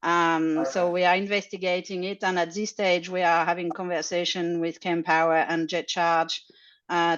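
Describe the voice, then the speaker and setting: Woman, lowish voice, explains at length on a muffled video call, casual and chatty. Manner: chatty, explanatory, frequent uh fillers, laughs lightly. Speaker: charging network representative. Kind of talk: casual online Q&A update. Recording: dull lo-fi audio.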